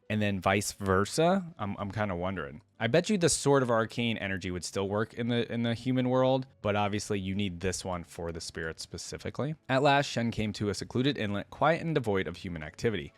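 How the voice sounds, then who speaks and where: Low-voiced man in a dry, close recording. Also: no room sound. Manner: informal, thinking aloud. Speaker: reaction video host. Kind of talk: casual reaction commentary mixed with reading a story aloud.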